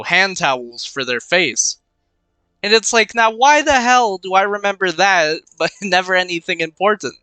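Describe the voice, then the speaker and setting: A man's voice: fairly high pitch, sharp tone, smooth, no echo, poor audio. A young man casually talking through his game, with a slightly congested voice and a habit of rambling and muttering as he weighs his choices. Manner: rambling, muttering to himself while thinking. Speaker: gaming commentator. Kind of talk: casual let's-play commentary. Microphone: cheap desk microphone.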